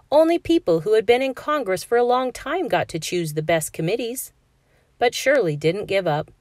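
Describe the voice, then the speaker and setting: A woman narrating a children's picture book aloud in a clear, measured storytelling manner for a classroom. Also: mid-range voice, no echo, studio quality.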